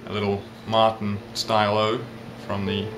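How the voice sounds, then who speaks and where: Male interview guest, mixed South African-English-Australian accent, reflective and halting, recorded in a noisy, roomy space. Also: lowish voice. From South Africa, with years in England and Australia. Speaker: interview guest, ukulele player and magazine editor. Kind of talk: casual interview chat. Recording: roomy echo, steady background noise.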